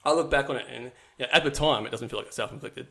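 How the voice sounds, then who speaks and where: A man's voice: medium pitch, very fast, gravelly, bright, forceful tone. A young man from New Zealand, a podcast guest, telling his life story in a casual, rambling way with many fillers and restarts.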